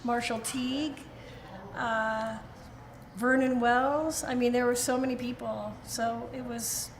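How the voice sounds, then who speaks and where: A woman's voice: fairly high pitch, fairly even intonation, slow pace, slight background noise. A woman actor chatting casually in an interview about her film role. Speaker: actress interviewed as cast member.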